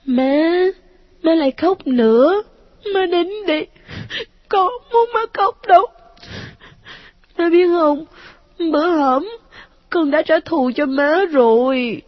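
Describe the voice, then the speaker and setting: A woman's voice: high voice, expressive, slow pace, long pauses, no room sound, dull audio, voice pushed up. A woman voicing the ghost of a dead little boy, clingy and pleading in a childlike whine. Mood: tearful.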